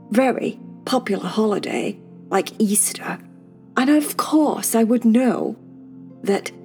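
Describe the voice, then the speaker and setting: A woman's voice: a little high, darkish tone. A woman voicing a dry-witted, mildly sarcastic male pet rabbit who drawls out plain facts.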